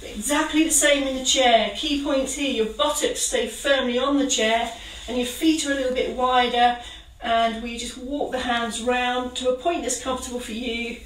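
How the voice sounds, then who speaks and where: A woman leading a gentle exercise class, giving calm, encouraging step-by-step cues. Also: fairly high pitch, normal speed, distant mic, a little hiss or hum. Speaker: exercise instructor. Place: kitchen. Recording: roomy sound from away from the mic.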